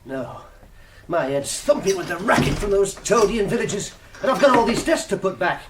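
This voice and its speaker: An actor playing a curt, resentful village schoolmaster in a period radio drama. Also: male voice, sits high, big pitch swings, normal speed, noisy background. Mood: irritable. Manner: curt, grumbling.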